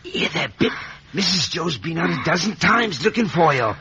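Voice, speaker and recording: An actor playing a kindly, meek village blacksmith with a gentle, hesitant, folksy way of talking in a radio drama. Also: male voice, fairly high pitch, big pitch swings, moderate pace, breathy edge, heavily acted. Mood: anxious. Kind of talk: 1950s radio drama acting. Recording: muffled, noisy vintage radio recording.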